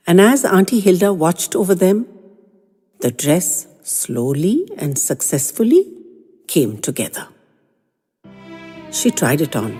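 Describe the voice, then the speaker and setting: A woman tells a first-person story in a low, mellow, slightly husky voice. She reads gently and expressively, letting phrases linger. The reverberant sound has soft music playing beneath it. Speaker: narrator.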